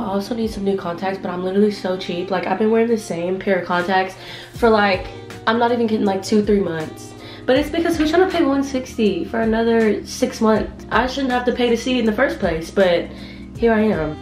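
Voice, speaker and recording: A woman in her twenties talking chattily to her viewers, her voice a little hoarse from a cold, filming herself with a handheld camera. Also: medium pitch. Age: 20s. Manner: chatty, rambling, talks straight to the viewers. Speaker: vlogger. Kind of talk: casual vlog chat. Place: small bedroom at home. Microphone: handheld camera, close.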